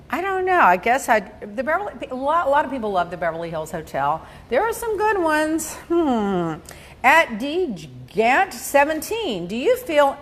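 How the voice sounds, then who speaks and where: Animated woman hosting a gossipy podcast. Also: medium pitch. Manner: animated, gossipy, laughs often, acts out voices. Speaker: podcast host. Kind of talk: casual chat, answering listener questions. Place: home studio office, indoors. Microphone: desk mic on stand.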